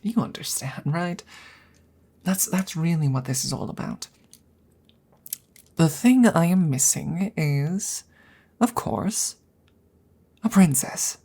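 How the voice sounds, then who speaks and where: A woman voicing a polite, earnest, slightly awkward female dragon in an intimate ASMR roleplay, speaking softly and formally with hesitant stammers and frequent whispering. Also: low-pitched, expressive, slow, long pauses, heavily acted. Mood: courteous, hopeful. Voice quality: breathy, soft, often slipping into whisper.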